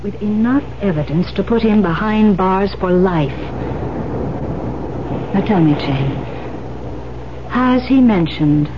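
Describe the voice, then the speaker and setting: Insistent police detective, dull hissy recording. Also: female voice, medium pitch, expressive. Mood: measured. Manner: insistent, deliberate. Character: persuasive female police detective, urgent and controlled. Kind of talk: 1970s radio drama acting.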